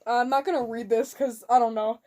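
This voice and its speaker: High-voiced young woman, giggly dramatic reader. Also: steady tone, fast talker, dark tone. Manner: giggly, mock-dramatic, casual. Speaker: amateur fan fiction reader. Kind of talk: dramatic comedic reading with asides.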